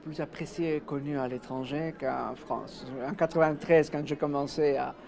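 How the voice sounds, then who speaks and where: A man's voice: a little high, fairly even intonation, normal speed, vocal fry, a little mellow. A middle-aged man from Mexico, a native Spanish speaker, giving a reflective interview answer indoors. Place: indoors, exhibition room.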